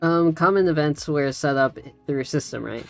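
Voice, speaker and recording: A woman's voice: low-pitched, normal speed, dry recording, faint music behind. A woman streamer teaching a tutorial in a casual, easygoing, loosely wandering way. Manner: easygoing, giggly, easily sidetracked. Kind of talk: casual chat.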